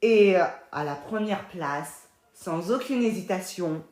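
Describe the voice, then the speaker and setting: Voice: mid-range voice, expressive, slow, darkish tone. Matter-of-fact co-host, echoey room. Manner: matter-of-fact, reads out rankings. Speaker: co-host of a ranking video. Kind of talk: casual scripted chat.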